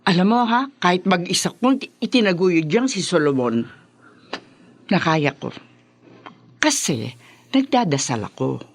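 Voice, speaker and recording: Older mother, proud, nagging, chatty, very animated pitch swings, radio drama. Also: measured pace, pauses often, exaggerated voice. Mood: bossy, chatty. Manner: chatty, bossy, exclamatory. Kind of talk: Tagalog radio drama acting.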